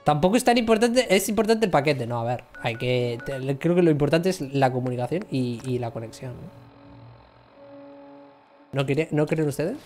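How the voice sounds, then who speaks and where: A man in his thirties jokes with his viewers in casual banter while he plays, often mocking himself. His voice sits a little high. Faint game music plays behind him as he talks into a desk mic at home. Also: expressive, normal speed, darkish tone. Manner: joking, self-mocking. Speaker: livestream gamer. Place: room at home, seated in gaming chair.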